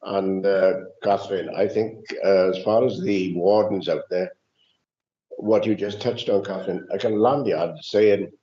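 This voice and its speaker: Mellow low man in a roomy hall. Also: fairly even intonation, measured pace. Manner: chatty and informal. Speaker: councillor. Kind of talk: formal meeting comment. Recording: dull, roomy recording.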